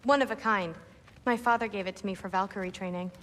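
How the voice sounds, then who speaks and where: A woman's voice: mid-range voice, fast, fairly bright tone, natural voice. A woman playing a confident, friendly teenage Norse warrior girl in a cinematic video game, casual and teasing. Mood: eager, friendly.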